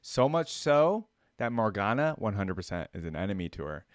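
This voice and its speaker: Low-voiced man giving casual fan commentary. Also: very animated, moderate pace, no echo, clean studio sound. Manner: musing, slangy, interjecting. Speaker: reaction video host.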